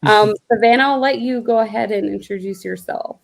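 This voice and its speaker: A woman's voice: mid-range voice, fairly quick, creaky, poor audio. A woman hosting a live book discussion, chatty and laughing often as she moderates. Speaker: host of the live show. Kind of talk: casual chat, moderating.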